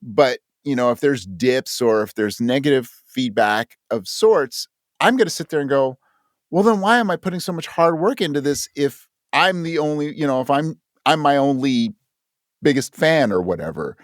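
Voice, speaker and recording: A man speaks casually and candidly as a guest, questioning himself as he goes. His medium-pitched voice swings widely up and down, and the sound is dry with no room echo. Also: animated, moderate pace. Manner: candid, self-questioning, quotes his inner thoughts. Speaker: interview guest, audio drama creator. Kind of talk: casual conversation.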